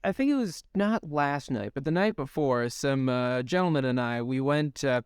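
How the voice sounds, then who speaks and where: A man's voice: mid-range voice, animated, moderate pace, clear, resonant tone, no room sound, studio quality. A young man co-hosting a podcast, chatting casually and rambling with lots of fillers and some swearing. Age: young adult. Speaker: podcast co-host. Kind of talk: casual chat.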